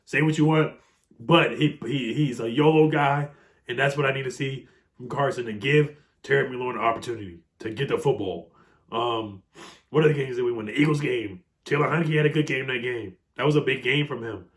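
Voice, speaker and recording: A man with a cold and a raspy, hoarse voice, giving casual fan commentary on his team in a video, with frequent ums and the odd throat clear. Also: mid-range voice, fairly quick. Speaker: sports video host.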